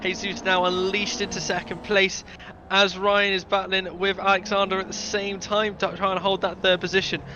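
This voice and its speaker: A man breaks down an online race in a bright, sharp voice that sits fairly high. His sound is slightly dull and lo-fi, with faint noise behind it. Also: little pitch movement, normal speed. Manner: chatty, analytical, teasing. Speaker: co-commentator. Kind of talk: sim racing color commentary.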